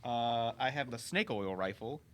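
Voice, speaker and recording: A man's voice: mid-range voice, lively intonation, a little slow. A man playing a tabletop game, casually reading item descriptions aloud, with faint noise behind him.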